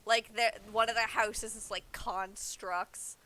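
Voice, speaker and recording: Bright-voiced woman, casual game streamer. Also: fairly high pitch, steady tone, takes it slowly. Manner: informal, loosely explanatory. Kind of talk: casual chat, explaining game story while playing.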